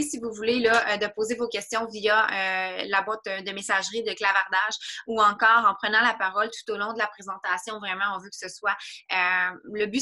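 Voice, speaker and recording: A woman's voice: medium pitch, bright, forceful tone. A woman from Quebec with a Québécois French accent, an online wellness coach presenting a business opportunity on a video call, chatty with many fillers and restarts. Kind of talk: video call information session. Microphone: computer mic on a video call.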